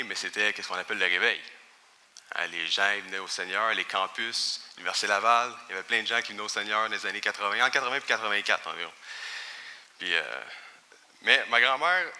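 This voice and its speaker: Bright-voiced Quebec man in his thirties. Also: lowish voice, big-room sound. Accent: Quebec French. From Quebec, Canada. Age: 30s. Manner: chatty, informal, with light self-deprecating humor. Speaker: church member giving testimony. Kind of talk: personal testimony before a congregation. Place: church sanctuary.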